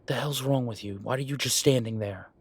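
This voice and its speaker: A man playing a gruff, sarcastic merman who runs a tattoo shop, speaking in a halting, conversational audio roleplay style. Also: medium pitch. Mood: irritated, sardonic. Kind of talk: naturalistic one-sided audio roleplay.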